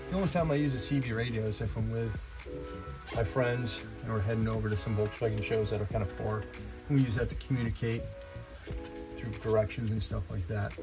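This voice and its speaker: A man hosting a DIY vlog, chatting informally and rambling a little as he works hands-on. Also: on the low side. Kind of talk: casual hands-on vlog chat. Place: in and around a camper van. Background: music.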